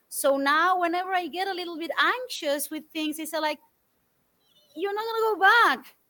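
A woman in her forties from Argentina, guest on an interview show, telling stories in an animated, thoughtful way with many fillers. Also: sits high. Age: 40s. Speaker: guest speaker. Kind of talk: interview answers, storytelling.

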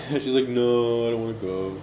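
A man's voice: mid-range voice, normal speed, warm tone. Laid-back man in his thirties, roomy lo-fi. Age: 30s. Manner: laid-back, joking, laughs often. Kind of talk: casual chat. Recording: roomy, dull lo-fi sound with faint background noise.

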